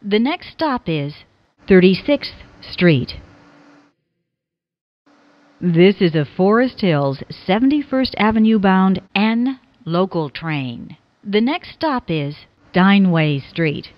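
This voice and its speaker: A woman reading scripted subway announcements as a transit announcer, formally and in separate, clearly spoken phrases. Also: mid-range voice, big pitch swings, slow, no echo, highs cut off. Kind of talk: scripted subway train announcement, pieced from clips.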